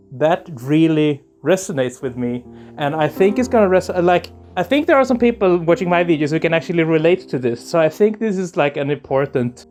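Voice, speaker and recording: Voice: medium pitch, darkish tone. A game host with a mid-range, androgynous voice talks through a visual novel in an informal, candid way. The delivery is casual and slightly hesitant, moving between chat and read-aloud text. Faint plucked guitar music plays behind the voice.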